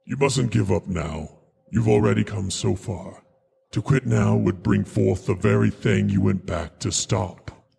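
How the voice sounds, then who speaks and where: A man playing a mysterious, all-knowing schemer in a radio drama, gravelly and husky, speaking in a measured, grandiose, condescending way. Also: on the low side, warm tone, heavily acted. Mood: condescending, coaxing. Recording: long cavernous reverb.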